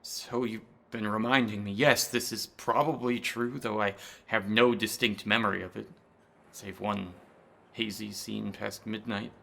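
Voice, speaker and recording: An actor in a horror radio drama playing a timid, anxious scholar with nervous, old-fashioned formal speech. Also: male voice, on the low side, fairly even intonation, unhurried, vocal fry, sharp tone, exaggerated voice. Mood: haunted.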